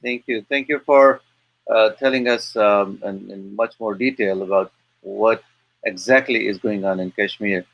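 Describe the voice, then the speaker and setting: A man hosting an online webinar and introducing guests, speaking in a hesitant, halting way with repeated words. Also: fairly low pitch, dull audio. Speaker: webinar host.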